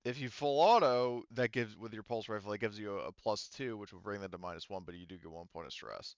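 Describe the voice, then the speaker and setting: A man acting as game master of a tabletop roleplaying game, explaining rules haltingly as he thinks aloud. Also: lowish voice, no echo, lo-fi sound.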